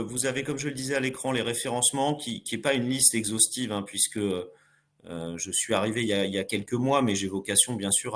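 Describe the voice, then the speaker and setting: Man, webinar host, polite and formal, middle pitch, frequent fillers. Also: a bit bright. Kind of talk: hosting a business webinar.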